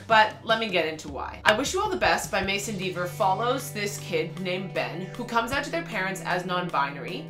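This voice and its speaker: A woman in her thirties giving a candid, conversational book review as a channel host, with an animated delivery. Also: mid-range voice. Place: room lined with bookshelves, indoors. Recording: roomy echo, faint music behind.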